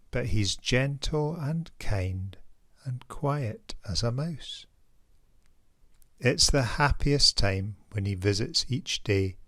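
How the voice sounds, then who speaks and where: A man reading a picture book aloud to young children in an expressive, animated storytelling way, as its author. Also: medium pitch, no room sound, clean studio sound. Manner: expressive, addresses young listeners directly.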